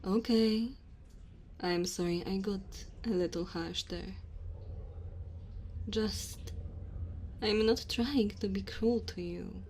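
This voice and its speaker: An ancient dragon woman, acted by a woman addresses her human captive in an ASMR roleplay. She is commanding and playfully teasing, with unhurried delivery at a medium pitch. Faint ambient noise sits behind her voice. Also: darkish tone. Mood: gentle, reassuring. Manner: haughty, teasing, addresses listener directly. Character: dominant, teasing immortal dragon woman claiming a human mate. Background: cave ambience and fire sound effects.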